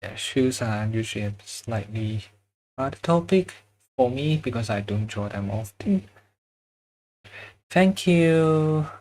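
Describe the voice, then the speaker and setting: A man's voice: mid-range voice, expressive, takes it slowly, pauses often, darkish tone, rough recording. A man with a Chinese accent, chatting casually with viewers while he draws on a livestream, often searching for words and chuckling. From China. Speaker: drawing livestreamer.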